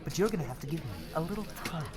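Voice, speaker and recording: A woman's voice: low voice, animated, racing, over loud music. A woman playing a sarcastic, grumbling office worker with an exasperated, mock-dramatic manner in an audio roleplay. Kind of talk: scripted audio roleplay acting.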